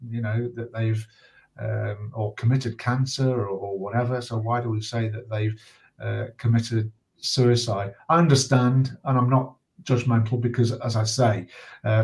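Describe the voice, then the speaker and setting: A middle-aged or older British man speaking reflectively in conversation as a guest, earnest and measured, with hesitant pauses. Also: mid-range voice, darkish tone, rough recording. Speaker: interview guest, bereaved father and campaigner.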